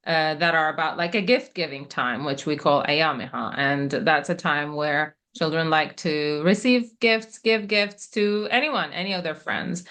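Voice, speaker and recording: Soft-spoken, thoughtful woman, Persian native. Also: low voice. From Middle East. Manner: thoughtful, hesitant, many pauses and restarts. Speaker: guest, author and interpreter. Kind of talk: relaxed conversational interview.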